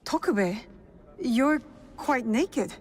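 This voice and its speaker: An actress playing a cool, resolute young female shinobi in a video game cutscene, speaking in a measured, probing way with dry wit. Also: on the high side, animated, darkish tone, slight background noise. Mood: calm, probing. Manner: measured, probing, dryly teasing.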